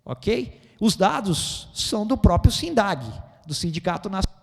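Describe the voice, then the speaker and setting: A Brazilian man with a Brazilian Portuguese accent giving a seminar lecture, animated and excitable, tagging his points with constant questions to the audience. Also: high voice, measured pace, creaky. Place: auditorium. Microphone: handheld microphone. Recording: reverberant auditorium.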